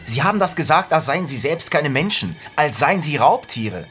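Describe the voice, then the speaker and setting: An actor in a German radio drama playing a troubled young newcomer, earnest and uneasy. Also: male voice, fairly high pitch, sing-song, moderate pace. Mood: brooding, anxious. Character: troubled young male newcomer, disturbed and unsettled. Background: music behind the voice.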